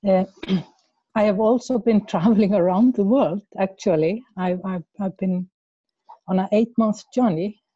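A woman from Iceland with an Icelandic accent, speaking in a casual discussion over a video call, hesitant, repeating words and laughing softly. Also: mid-range voice, unhurried, slightly rough, no room sound, muffled recording. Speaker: class participant.

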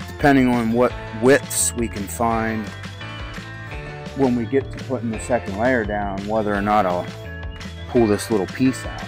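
Male DIY vlogger, offhand working talk, picked up by a camera in a barn, music underneath. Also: mid-range voice. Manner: offhand, thinking aloud. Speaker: vlog co-host doing the repair work. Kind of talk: casual on-site talk while working. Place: large open wooden barn.

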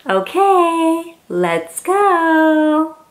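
A woman's voice: very high-pitched, very animated, extremely slow. A woman in her thirties reads a picture book aloud to young children in an exaggerated, sing-song, encouraging way. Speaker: children's read-aloud host. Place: small plain room indoors.

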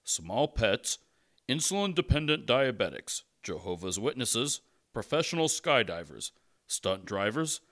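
A man's voice: mid-range voice, big pitch swings, a little slow, a bit bright, pitched below the performer's own voice. A man voicing an officious, cautious conscience who talks like an insistent legal announcer in a comedy sketch. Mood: stern, official.

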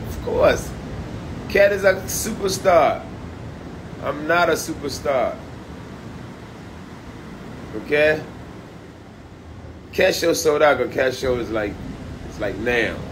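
A man livestreaming from a high-rise room by the beach on a phone at arm's length, talking loud, profane and animated. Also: slow pace, pauses often, noisy background. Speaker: comedian livestreaming. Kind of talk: casual live stream chat. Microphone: phone held at arm's length.

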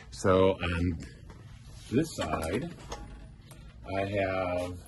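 Middle-aged prop guy in his shop, low voice, slow and rambly, noisy background. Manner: rambling, many ums and uhs, listing items. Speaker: theater prop maker. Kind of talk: casual show-and-tell tour.

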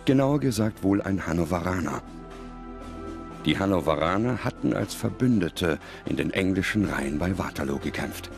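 A man reading scripted documentary narration in a measured, dramatic manner. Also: sits low, expressive, vocal fry, music in the background.

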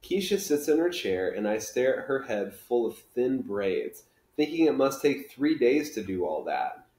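A man's voice: medium pitch, sing-song, a little slow, darkish tone. A man in his thirties reading a children's book aloud in a casual style, recorded in a living room. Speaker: reader, teacher. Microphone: camera a few feet away. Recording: roomy living-room echo.

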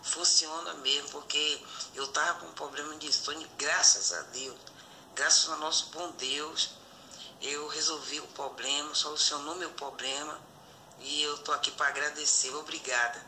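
A woman's voice: low voice, steady tone, moderate pace, bright, forceful tone, slight background noise, low-quality recording. A woman with a Bahian Brazilian accent giving a testimonial in a voice message. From Salvador, Bahia, Brazil. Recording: voice note replayed through phone speaker.

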